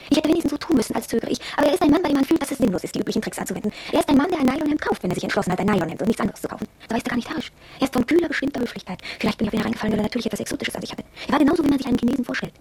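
Fast, husky young shop girl. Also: high voice, animated, a little mellow, exaggerated voice. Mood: wondering, confiding. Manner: confiding, reflective narration of her own experience. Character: young shop girl, impressionable, wondering, inwardly excited. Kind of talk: radio drama monologue with dialogue.

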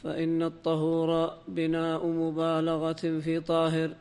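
Male student reader, higher-pitched and very monotone, smooth, formally reciting classical text in long reverb. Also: measured pace. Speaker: student reader in a religious lesson. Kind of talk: reading classical text aloud, semi-chanted.

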